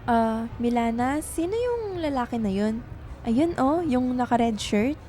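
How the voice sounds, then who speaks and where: A woman's voice: fairly high pitch, expressive, unhurried, dark tone. A woman playing a shy, sincere young writer who is easily flustered, in a radio drama. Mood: friendly, bashful.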